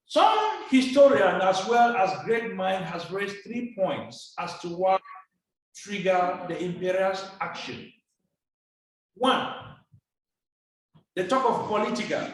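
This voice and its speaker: Male seminar speaker from Cameroon, slightly high voice, measured formal delivery, picked up from afar in a roomy hall. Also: big pitch swings. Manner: slow, deliberate, formal phrasing. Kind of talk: lecture presentation. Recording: echoey hall from a distance.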